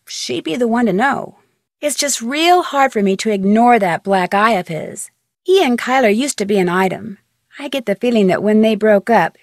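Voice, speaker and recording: A woman's voice: on the high side, no echo, studio quality. A young woman voicing an inquisitive, plucky amateur detective in a video game, talking conversationally as if on the phone.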